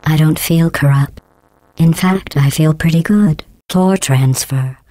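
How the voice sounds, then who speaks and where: A woman voicing a cold, sarcastic female AI overseer in a video game, talking in a deadpan, condescending, passive-aggressive way with robotic processing. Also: sits low, takes it slowly, no echo. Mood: menacing, mocking. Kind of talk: game voice clips.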